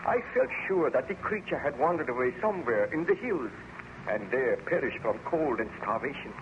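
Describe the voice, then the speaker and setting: Actor as fervent, haunted baron, old crackly radio. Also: male voice, medium pitch, close to an everyday voice. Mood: grave, reflective. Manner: formal, theatrical, fervent delivery. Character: anguished baron scientist, married man haunted by his monstrous creation. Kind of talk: 1930s radio drama acting. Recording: muffled, noisy old-time radio recording.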